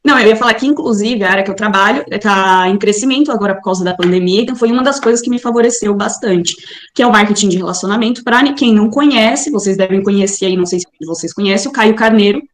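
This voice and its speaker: A woman's voice: medium pitch, fast talker, echoey room. A Brazilian woman with a Brazilian Portuguese accent, chatting as an interview guest over a video call.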